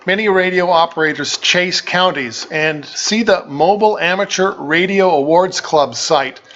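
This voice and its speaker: A man's voice: high-pitched, measured pace, fairly bright tone. A man giving an informal tutorial as a channel host, explaining things in a methodical, plain-spoken way. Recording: slightly dull, lo-fi sound.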